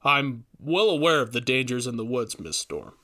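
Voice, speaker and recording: A man's voice: medium pitch, very animated, normal speed, pushed and bright. A man voicing an earnest, troubled young forest ranger in a storybook reading, thoughtful and hesitant. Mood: grave.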